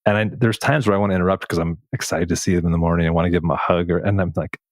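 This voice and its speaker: A man with a low voice, recorded dry and close with no room sound. He speaks as a podcast host in a reflective, conversational way, pausing as he searches for the right phrasing. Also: steady tone, no echo. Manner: thoughtful, searching phrasing with pauses.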